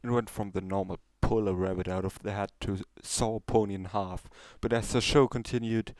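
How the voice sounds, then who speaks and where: A man's voice: lowish voice, fairly even intonation, moderate pace, darkish tone, dry recording. A man reading a scripted story aloud as its narrator. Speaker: story reader.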